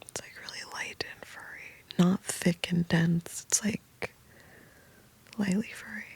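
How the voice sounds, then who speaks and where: A woman whispering soft, meandering, intimate late-night rambling from the floor of a small sound booth. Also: lowish voice, fairly even intonation, unhurried, gravelly, breathy edge. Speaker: host.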